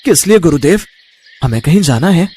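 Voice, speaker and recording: Man acting an earnest, high-pitched young disciple. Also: expressive, moderate pace, mellow, slightly rough. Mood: earnest, obedient. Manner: eager, respectful, pleading. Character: earnest young male disciple, devoted, anxious and brave. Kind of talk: animated storybook character acting.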